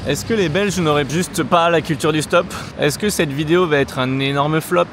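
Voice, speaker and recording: A man's voice: fairly high pitch, normal speed, noisy background. A man in his thirties from France, talking casually and self-mockingly to the camera outdoors by city roads, with some swearing. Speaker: travel vlogger. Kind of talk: casual vlog talk to camera.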